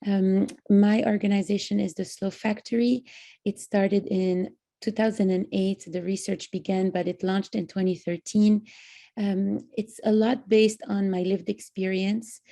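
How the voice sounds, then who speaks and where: A woman from Lebanon speaks on a panel in an earnest, reflective way and often stops to search for words. Her voice is dark and mellow with a gravelly fry. Her pitch stays quite flat. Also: unhurried. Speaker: panelist, founder of an organization. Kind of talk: online panel talk.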